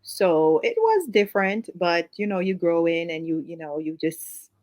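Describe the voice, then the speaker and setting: A woman's voice: fairly low pitch, expressive, unhurried, no room sound, highs cut off. A woman from Jamaica with a Jamaican accent, chatting as an interview guest, laughing easily and trailing into fillers. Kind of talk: casual interview conversation.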